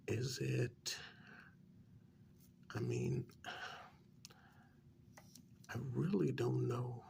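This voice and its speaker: A man playing a patient but exasperated vampire official in a soft-spoken ASMR role-play, halting and coaxing, with a breathy voice that often nears a whisper. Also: medium pitch, heavily acted. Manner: halting, coaxing, many pauses.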